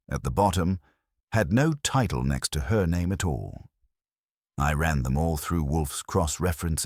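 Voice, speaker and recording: A man's voice: rumbling low, no echo, clean studio sound. A man narrating a scripted first-person detective story in terse, clipped noir sentences. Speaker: audiobook narrator.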